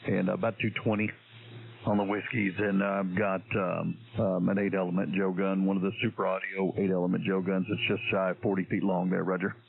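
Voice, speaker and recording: A man's voice: low voice, little pitch movement, brisk, gravelly. A Texan man in easygoing, folksy radio chat, heard through a tinny radio transmission. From Texas, USA. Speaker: radio operator.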